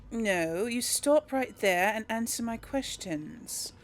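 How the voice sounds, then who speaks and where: A woman's voice: a little high, expressive, slow pace, slight background noise. A woman doing casual game commentary and role-playing dialogue choices, musing aloud with little hums. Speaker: gamer narrating a role play series.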